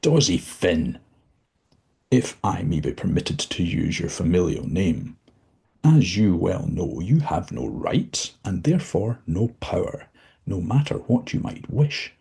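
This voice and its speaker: A man's voice: low-pitched, big pitch swings, slow pace, creaky, dark tone, husky, full character voice. A man voicing the devil as a formal, condescending and menacing figure. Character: the devil, suave, menacing, all-knowing male.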